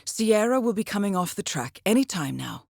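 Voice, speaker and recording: A woman playing a composed, dry-witted intelligence handler in a video game, speaking in a measured, formal, understated way as she briefs an assassin. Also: mid-range voice, big pitch swings, vocal fry, darkish tone, no room sound. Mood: dryly amused. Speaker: mission handler. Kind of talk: scripted video game voice acting, mission briefing.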